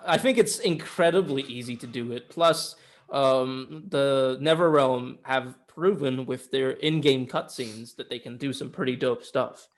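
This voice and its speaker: A man's voice: mid-range voice, measured pace. A man co-hosting a film review show in casual discussion, opinionated and informal, given to swearing. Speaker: film review podcast co-host.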